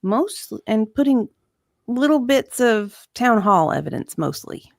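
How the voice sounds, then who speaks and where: A woman co-hosting a dramatic reading of testimony, speaking in a conversational way with measured phrasing and some casual chat. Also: fairly high pitch, big pitch swings, no room sound, clean studio sound. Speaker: co-host reading a witness's part from a deposition.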